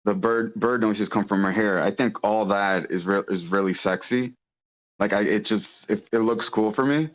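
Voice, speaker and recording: A man giving casual, halting feedback on a script in an online meeting, feeling his way through his thoughts. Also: fairly low pitch. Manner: hesitant, halting, rambling. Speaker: script feedback participant at an online table read.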